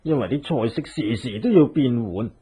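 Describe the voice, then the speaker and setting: An actor playing a doting, simple fat husband and cook in a Hong Kong Cantonese radio drama, with a fawning drawl. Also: male voice, mid-range voice, animated, normal speed, dark tone, husky. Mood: easygoing, reassuring.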